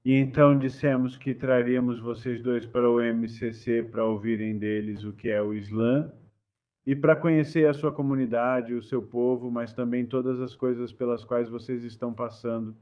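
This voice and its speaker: A man's voice: medium pitch, little pitch movement, normal speed. A man from India leading a visiting group, giving an informal spoken introduction in a dull, lo-fi recording. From New Delhi, India. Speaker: leader of a visiting student group. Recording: dull, lo-fi sound.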